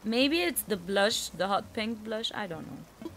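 A woman's voice: medium pitch, big pitch swings, a little slow, fairly bright tone, a little hiss or hum. A young woman with an Egyptian Arabic accent, a native Arabic speaker, chatting spontaneously and giggling as she comments on her game. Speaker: gaming YouTuber. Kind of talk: casual gameplay commentary. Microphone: headset.

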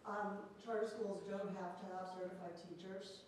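A woman in the audience with a loud voice, chiming in from away from the mic. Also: mid-range voice, fairly even intonation, moderate pace, a little hiss or hum. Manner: loud, interjecting. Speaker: audience member. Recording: echoey, distant from the mic.

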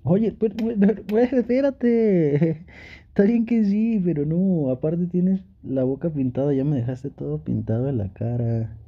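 A man acts out a young boyfriend in an ASMR roleplay, affectionate but pouty. His voice sits fairly high and is husky, coaxing and teasing as if to a partner right beside him. The sound is a little dull. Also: unhurried. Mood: eager, surprised. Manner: playful, pleading, sweet-talking with pet names. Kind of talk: Spanish ASMR boyfriend roleplay, intimate one-sided dialogue.

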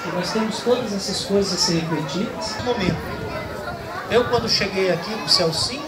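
Brazilian priest preaching under an open-air tent. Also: male voice, sits high, fairly quick, far from the mic. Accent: Brazilian Portuguese. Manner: earnest storytelling, rising to emphatic. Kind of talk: outdoor homily. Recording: roomy sound over a loud crowd.

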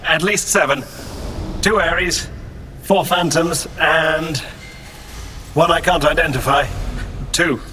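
A man's voice: a little high, takes it slowly, long pauses, pushed and bright, slight background noise. An actor playing a prim, precise, easily exasperated male android in a science-fiction audio drama. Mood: matter-of-fact. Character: fussy, exasperated male android, logical and dry. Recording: echoey, music behind.

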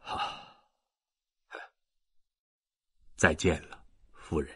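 A man voicing a dejected, evasive old man in an audiobook, speaking in a halting, subdued way. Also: bright, forceful tone, no room sound, clean studio sound. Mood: resigned. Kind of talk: audiobook character dialogue.

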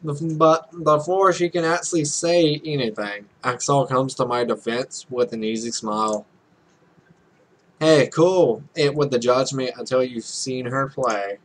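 A man's voice: medium pitch, a little slow, rough recording. A young man reading a visual novel aloud as a let's play host, offhand, stumbling over lines and adding casual, sweary commentary. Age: young adult.

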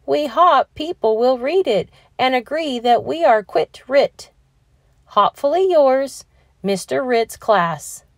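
A woman's voice: sits high, expressive, takes it slowly, no room sound, pitched above the performer's own voice, full character voice. A woman voicing earnest schoolchildren reciting a letter in a storybook, emphatic and declamatory. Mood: indignant.

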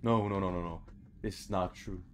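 Low-voiced young man muttering through gameplay. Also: steady tone, normal speed, a little hiss or hum. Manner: muttering, frustrated, swears freely. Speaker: video game player and commentator. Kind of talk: casual gameplay commentary. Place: indoor room at home.

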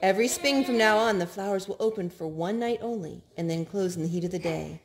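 A woman in her thirties reading picture books aloud to young children at home, in an animated, child-directed way with drawn-out words. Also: mid-range voice, a crowd in the background. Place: living room at home, indoors. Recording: home recording with background noise.

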